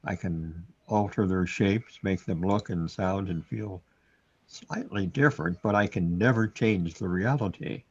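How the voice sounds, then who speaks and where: Troubled, self-doubting man played by a male actor, brooding and hesitant, husky delivery, muffled recording, surreal radio drama. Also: low-pitched, a little slow, exaggerated voice. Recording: dull, lo-fi audio.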